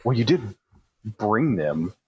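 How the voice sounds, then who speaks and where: A man with a low, deep voice chats as a player in a roleplaying game. His delivery is casual and improvised. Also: very animated, slow pace, husky, dry recording, rough recording.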